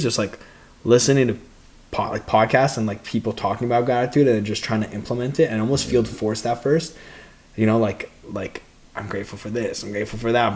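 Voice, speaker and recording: A man's voice: mid-range voice, a little mellow. A young man chatting casually on a podcast as a co-host and fighter, laid-back and rambling, full of slang and swearing. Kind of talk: casual chat.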